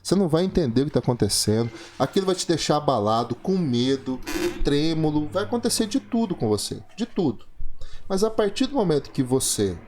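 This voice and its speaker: A man's voice: on the high side, sing-song, brisk, a little mellow. Emphatic Brazilian man in his forties. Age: 40s. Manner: emphatic, repetitive, rhetorical questions. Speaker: trading course host. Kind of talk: motivational monologue.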